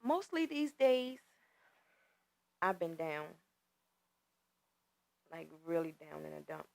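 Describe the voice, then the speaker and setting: A woman's voice: sits low, big pitch swings, takes it slowly, creaky, full character voice. A woman performing a confessional monologue as someone downhearted but steeling herself, colloquial and confiding, with uneven emphasis. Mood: downcast, confiding.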